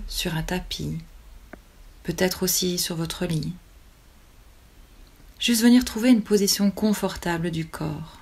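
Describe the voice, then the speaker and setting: A woman leading a guided relaxation as a yoga nidra teacher, calmly and soothingly, with long pauses between short phrases. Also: fairly low pitch.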